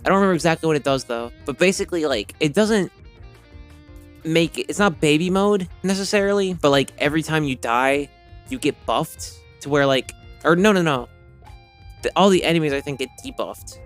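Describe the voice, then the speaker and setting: Man, slightly hoarse, game streamer, rambling chat, faint music behind. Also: fairly high pitch, animated, fairly quick. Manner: rambling, self-correcting, heavy fillers. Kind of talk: reading game text aloud, then casual rambling chat.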